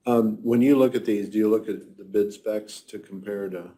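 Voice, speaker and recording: A man with a fairly low voice sits on a county board and answers in short phrases, moving motions and asking brief questions. A clear hiss runs under the recording. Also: fairly even intonation, normal speed, mellow. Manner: short replies, makes motions. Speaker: county commissioner. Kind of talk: public meeting business.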